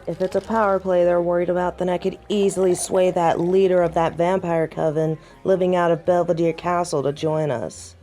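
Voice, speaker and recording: A woman's voice: lowish voice, flat delivery, moderate pace, few pauses, dark tone, soft background music, natural voice. A woman playing a proud, prickly, tipsy werewolf second-in-command in an audio roleplay drama, with a dry, sarcastic drawl. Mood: teasing.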